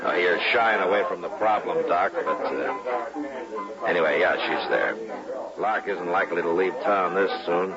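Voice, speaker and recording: An actor playing a steady, protective frontier marshal with a dry, understated manner in an old western radio drama. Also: male voice, low-pitched, unhurried. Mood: matter-of-fact. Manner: laconic, dryly understated. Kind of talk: naturalistic old-time radio acting. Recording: dull vintage radio with music.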